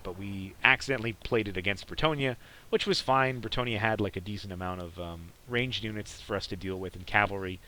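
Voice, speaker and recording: A man's voice: lowish voice, pushed and bright. A man casually commentating on gameplay as a podcast host, in a rambling, hesitant way. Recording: clearly noisy background behind voice.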